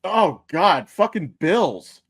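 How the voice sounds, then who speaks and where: A man's voice: high voice, sing-song, takes it slowly, fairly bright tone, husky, no echo. An American man talking casually as a podcast co-host, blunt, self-deprecating and freely profane. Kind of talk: casual chat.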